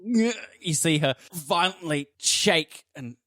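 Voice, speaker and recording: A man's voice: a little high, animated, takes it slowly, bright, forceful tone, no room sound, studio quality. A man narrating a tabletop game as game master, setting scenes dramatically.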